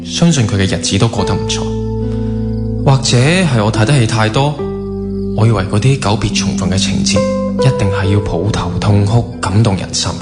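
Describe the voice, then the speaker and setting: A man's voice: on the low side, normal speed, close to an everyday voice. An actor playing an earnest, disillusioned young man, narrating his thoughts in Hong Kong Cantonese in a radio drama. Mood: disappointed. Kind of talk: radio drama monologue, reflective scripted narration. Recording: long reverb, music under the voice. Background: guitar music.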